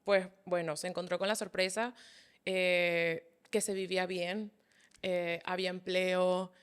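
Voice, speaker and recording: A woman in her thirties with a Venezuelan accent from Caracas, calmly telling her story as an interview guest. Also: lowish voice, a little slow, clean tone. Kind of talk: casual interview chat.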